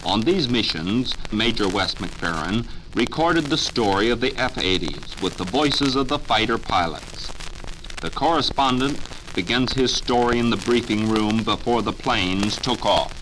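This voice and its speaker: A man's voice: fairly low pitch, expressive, measured pace. An American man narrating a radio news report in 1950s scripted style. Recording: noisy 1950 radio transcription disc.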